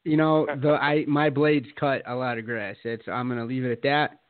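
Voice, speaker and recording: Male podcast co-host, jokey and quick to laugh, tinny lo-fi call audio. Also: medium pitch, fairly even intonation, no echo. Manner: laughs a lot, swears, jokey asides. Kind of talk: casual chat. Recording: dry, phone-like sound.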